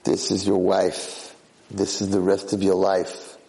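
A man's voice: on the high side, huge pitch swings, takes it slowly, big-room sound, voice pushed up, full character voice, rough recording. A man voicing a cruel, mocking young male master in a told story, bossy and taunting.

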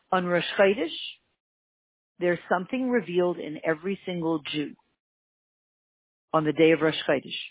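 A teacher with a Canadian accent giving an emphatic religious lesson, repeating key words and jumping up in pitch for emphasis. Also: slightly rough, dry recording. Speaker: Torah teacher. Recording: tinny phone-like line, dry.

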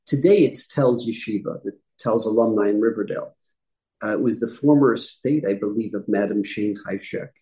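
A man's voice: lowish voice, moderate pace, warm tone, low-quality recording. A middle-aged man from upstate New York, a rabbi answering interview questions in a conversational, explanatory way, often correcting himself mid-sentence. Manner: explanatory, with frequent self-corrections and asides. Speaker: guest rabbi.

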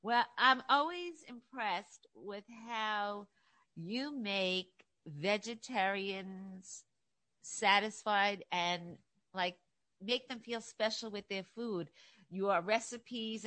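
A woman's voice: medium pitch, takes it slowly, sharp tone. A woman hosting a celebrity interview show, gushing and chatty as she introduces and questions her guest. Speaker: host.

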